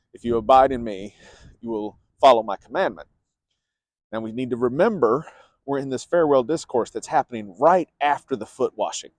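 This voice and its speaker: A man talks through a bible chapter in a loose, conversational teaching style, leaning on key words and pausing with fillers. His voice sits at an ordinary pitch and sounds close and dry, with no room around it. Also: darkish tone, dry recording. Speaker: parish teacher.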